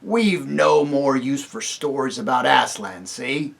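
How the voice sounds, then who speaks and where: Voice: medium pitch, animated, slow, vocal fry. A man voicing a surly, sneering male dwarf, blunt and jeering, in a storybook reading. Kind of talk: audiobook reading.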